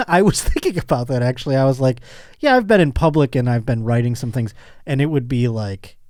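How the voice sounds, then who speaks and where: A man's voice: medium pitch, animated, fairly quick, a little mellow, no echo, clean studio sound. A middle-aged American man hosting a podcast in casual, comedic banter, joking and teasing as he talks. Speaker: podcast host.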